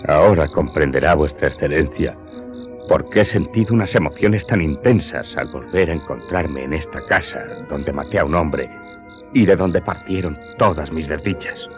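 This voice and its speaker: Radio drama role: guilt-ridden manservant, very low voice, deferential and sorrowful, muffled recording with music behind. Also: male voice, moderate pace. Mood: troubled, grave. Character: remorseful male servant, a steward confessing his crime. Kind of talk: Spanish-language radio drama acting. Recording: dull lo-fi sound, music behind.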